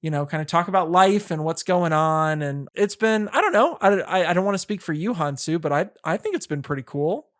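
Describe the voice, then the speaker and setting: A man's voice: on the high side, expressive, brisk, few pauses. A man hosting a podcast interview, chatting casually and joking with his guests. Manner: friendly, joking, hesitant restarts. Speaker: podcast host.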